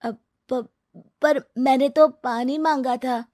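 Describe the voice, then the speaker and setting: Woman acting an earnest, high-voiced poor schoolboy. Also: fairly even intonation, slow pace, dark tone, no echo, studio quality. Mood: surprised, polite.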